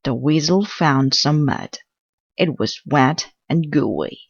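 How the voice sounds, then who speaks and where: Slow, low-voiced woman reading aloud. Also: lively intonation, slightly rough, dry recording, highs cut off. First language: Korean. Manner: slow, gentle, careful reading. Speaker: storybook reader. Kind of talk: reading a picture book aloud.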